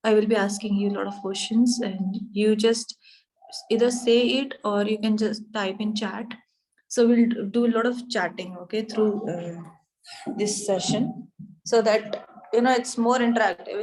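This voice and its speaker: A woman teaching an online yoga anatomy class, speaking haltingly with many fillers. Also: mid-range voice, a little mellow, rough recording. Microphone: video call.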